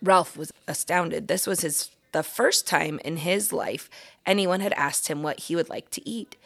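A woman with a fairly low voice and plenty of fry reads aloud from a children's book. She is a guest story reader who delivers the tale expressively. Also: unhurried, fairly bright tone.

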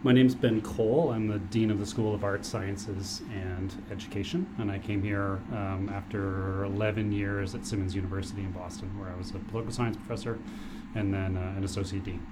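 Middle-aged male academic, low voice, plainspoken and casual, background noise. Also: steady tone, a little fast. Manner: candid and low-key, hedging with um and you know. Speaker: arts and sciences dean. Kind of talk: casual interview answers. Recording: noisy room recording.

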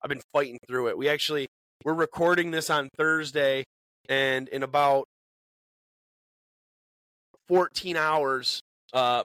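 A man's voice: medium pitch, pushed and bright, no room sound. A man co-hosting a casual podcast from a small studio in a bait shop, sounding congested from a cold, chatty and upbeat. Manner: upbeat, chatty, repeats words as he talks.

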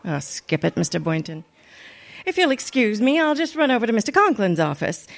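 A woman playing a wry, wisecracking high school teacher with sardonic wit in an old-time radio comedy. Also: big pitch swings, brisk, creaky. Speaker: actor in a radio play.

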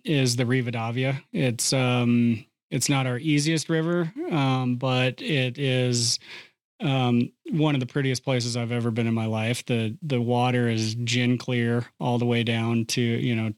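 Fishing guide, man, smooth resonant voice, flat pitch, earnest, dry mic. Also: dry recording. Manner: earnest, restarts often. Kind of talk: podcast conversation.

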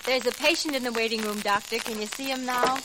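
A courteous, earnest lady from a vintage radio play, acted by a woman, speaks with proper composure. Her voice is fairly high, and the old recording is noisy and crackly. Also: steady tone, quick pace, lightly acted. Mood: businesslike. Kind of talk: 1940s radio drama acting.

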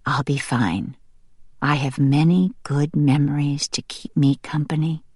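A woman voicing a gentle, weary, tender mother bird in a soft, half-whispered audiobook voice. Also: on the low side, slow, no echo, studio quality. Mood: resigned. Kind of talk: audiobook character voice.

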